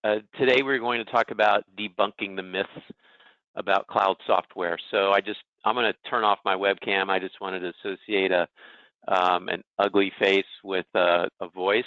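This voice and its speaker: A man with a lowish, forceful voice leads an informal webinar as a consultant. He talks conversationally, walking listeners through a software demonstration. The audio is dry and slightly dull. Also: fairly even intonation, moderate pace, no echo. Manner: conversational, self-deprecating, checks in with "okay" and "right". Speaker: presenter, legal technology consultant.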